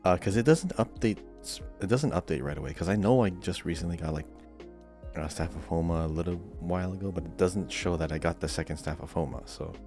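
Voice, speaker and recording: A man with a low-pitched voice co-hosts a gaming podcast. He talks in an informal, chatty way, and faint music plays underneath. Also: a little fast, a little mellow. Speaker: podcast co-host. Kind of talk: casual gaming podcast chat.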